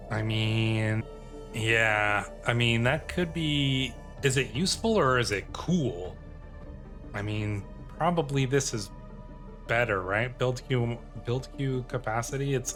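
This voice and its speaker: A man giving casual let's-play commentary as a gaming host, thinking aloud in rambling questions and reading out game text. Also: mid-range voice. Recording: music playing behind the voice. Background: game music.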